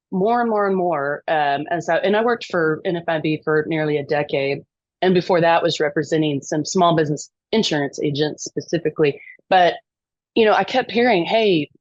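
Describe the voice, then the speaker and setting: A woman's voice: fairly low pitch, no room sound, highs cut off. A middle-aged woman from East Texas with a Texan accent, talking as a podcast guest, chatty and self-deprecating with frequent ums. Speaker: lobbyist guest. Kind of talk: podcast interview.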